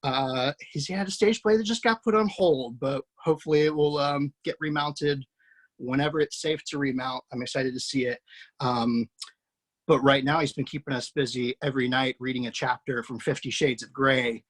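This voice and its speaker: A man's voice: mid-range voice, fairly even intonation, normal speed. A man hosting over a video call, casually introducing a cast with effusive praise, loose and full of fillers. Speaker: host introducing a table-read cast.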